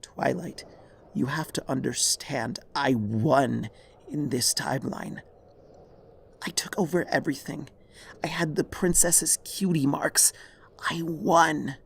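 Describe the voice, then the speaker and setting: A man voicing a dying, regretful pony mare, a remorseful former tyrant, in a weary, halting, husky voice for a dramatic story. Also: on the high side, a little hiss or hum, voice pushed up. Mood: remorseful, hoarse. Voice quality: raspy, husky, creaky.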